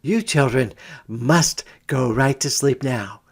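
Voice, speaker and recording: A man's voice: mid-range voice, takes it slowly, creaky, husky, full character voice. A man voicing a soothing mother.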